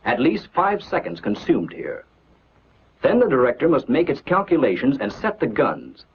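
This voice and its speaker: A man's voice: mid-range voice, huge pitch swings, slight background noise. 1940s training film man, dull vintage soundtrack. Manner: instructional, measured, declarative. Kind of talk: 1940s military instructional film narration, scripted.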